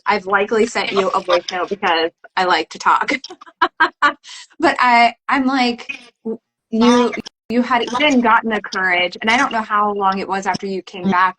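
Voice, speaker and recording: A woman's voice: mid-range voice, background noise. A woman hosting a podcast, talking enthusiastically with a guest and laughing easily. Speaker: podcast host. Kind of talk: casual podcast interview.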